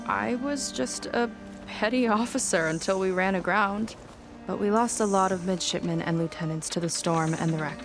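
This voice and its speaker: A woman's voice: medium pitch, moderate pace, lightly acted. Woman acting young navy officer girl in a game, determined and intense, mid voice, music under her. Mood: earnest, resolved. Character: determined, proud young female naval petty officer. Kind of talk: video game cutscene acting. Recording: music behind the voice.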